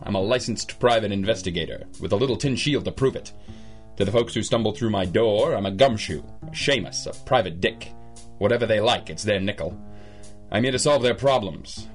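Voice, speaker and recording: An actor playing a world-weary, wisecracking private detective in an old-time radio noir, with a deadpan, slangy delivery. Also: male voice, on the low side, natural voice.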